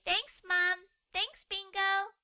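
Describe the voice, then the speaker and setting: A woman's voice: very high-pitched, fairly even intonation, takes it slowly, sharp tone, no room sound, voice pushed up, exaggerated voice. A woman voicing a cheerful, eager young cartoon puppy girl for a storybook, with exclamatory, child-like delivery. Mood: excited.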